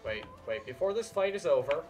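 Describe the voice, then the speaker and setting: A man's voice: a little high, sing-song, fairly quick, over music. A young man giving casual live game commentary, rambling and muttering to himself. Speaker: game streamer. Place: indoor room at a desk.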